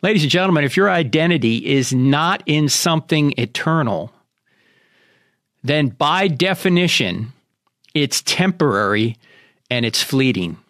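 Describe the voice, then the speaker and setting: Animated, high-pitched American man hosting radio. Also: unhurried, dry recording, studio quality. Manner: emphatic, preacherly, addresses listeners directly. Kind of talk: radio monologue with rhetorical questions.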